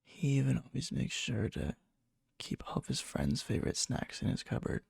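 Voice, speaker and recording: A man playing a needy, lovesick, obsessive young friend in a soft, breathy ASMR roleplay, hesitant and coy. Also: mid-range voice, very animated, moderate pace, dry recording, studio quality, heavily acted. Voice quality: breathy, soft, fry-edged. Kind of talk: whispery ASMR roleplay, intimate storytelling.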